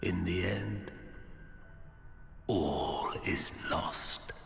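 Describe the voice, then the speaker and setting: An actor playing a world-weary, sardonic ancient villain, narrating a game cutscene in a deliberate, theatrical manner. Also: male voice, low-pitched, vocal fry, a bit bright, exaggerated voice. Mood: ominous. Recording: echoey hall reverb with music behind. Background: music.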